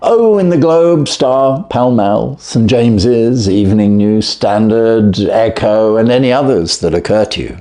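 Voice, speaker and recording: A man reading the part of a brisk, clever, self-assured Victorian detective in an audiobook, with precise, commanding, theatrical delivery. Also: fairly low pitch, dark tone, natural voice.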